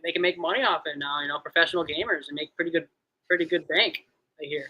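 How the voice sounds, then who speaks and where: A man in his thirties in casual chat, talking in a mellow, hesitant way with many fillers. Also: on the high side, fast, breathy edge, highs cut off. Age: 30s.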